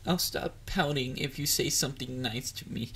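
A man's voice: medium pitch, moderate pace, fairly bright tone, slight background noise, lightly acted. A man playing a bubbly, bashful catboy boyfriend in an intimate roleplay audio drama, dorky and easily flustered. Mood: playful, bashful. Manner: playful, dorky, flustered, hesitant. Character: bubbly, bashful young male catboy partner.

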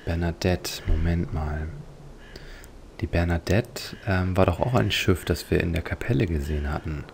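A man giving casual, musing, unhurried commentary as he plays a video game. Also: low voice, vocal fry, darkish tone, a little hiss or hum. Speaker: let's player.

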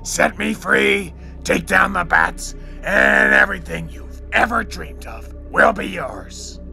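A theatrical, menacing crime boss in an audio drama. The voice is very forceful and gravelly, drawing words out slowly and taunting as it goes. Music plays faintly behind. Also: male voice, high voice, huge pitch swings, exaggerated voice. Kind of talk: comic book audio drama acting.

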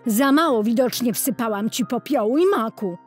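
A woman's voice: a little high, animated, moderate pace, soft background music, voice pushed up, lightly acted. A woman voicing a cruel, bossy stepmother in a fairy tale, stern and scolding. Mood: scornful, threatening.

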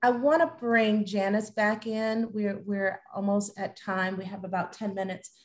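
A woman's voice: mid-range voice, fairly even intonation, measured pace. A middle-aged woman hosting a live online panel from a room at home over a video call. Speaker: host, moderator.

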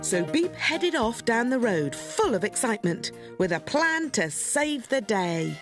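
Woman narrator, children's story, lively swooping delivery, music underneath. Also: fairly high pitch, unhurried.

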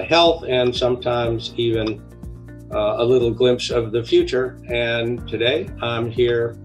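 Older man hosting, halting phrasing. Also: medium pitch. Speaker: podcast host. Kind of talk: conversational interview. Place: indoor home room, video call. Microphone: headset on a video call.

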